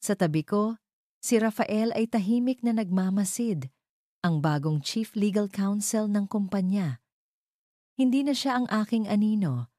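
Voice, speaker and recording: A woman reading a dramatic first-person story as a narrator, in a measured, deliberate way. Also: medium pitch, lively intonation, dark tone, dry recording, clean studio sound.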